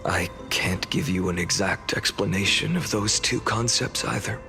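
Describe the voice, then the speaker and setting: A weary, gravelly young hero. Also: male voice, fairly low pitch, normal speed. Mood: wry. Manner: calm, wry, tired. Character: weary young hero, man, bound to endless cycles. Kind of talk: video game voice acting. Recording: game audio with music behind.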